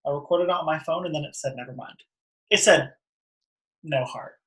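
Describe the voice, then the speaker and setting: A man's voice: fairly high pitch, normal speed, a bit bright. A young American man hosting a casual podcast over a video call, talking in a campy, sassy, slangy way. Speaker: podcast host. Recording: roomy echo on a video call.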